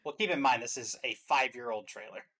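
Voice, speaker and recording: A man's voice: medium pitch, brisk, pushed and bright, breathy edge. A man hosting a casual reaction show, explaining game trailers to his audience.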